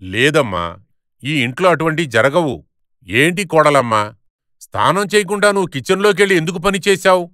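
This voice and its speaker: A man voicing a demanding, tradition-bound middle-aged father-in-law in an animated moral story, with a scolding manner. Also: fairly high pitch, brisk, smooth, no echo, clean studio sound. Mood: bossy. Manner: bossy, scolding.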